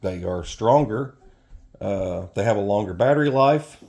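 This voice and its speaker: Low-voiced man, casual product review. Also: animated, measured pace, a little mellow, lo-fi sound. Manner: rambling, self-correcting. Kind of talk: informal product review, talking off the cuff.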